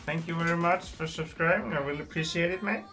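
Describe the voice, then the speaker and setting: A man's voice: a little high, fast talker, loud music behind the voice. A man hosting a casual gaming livestream, thanking viewers and reading chat aloud in simple, slightly phrasing. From Iceland. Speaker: livestream host.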